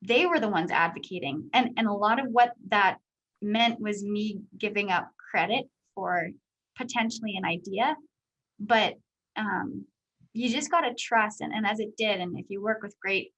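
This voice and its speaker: A woman in her thirties from Canada with a high voice, answering interview questions as a guest speaker in a candid, chatty way full of fillers. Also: moderate pace, fairly bright tone, low-quality recording. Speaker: guest speaker, company executive.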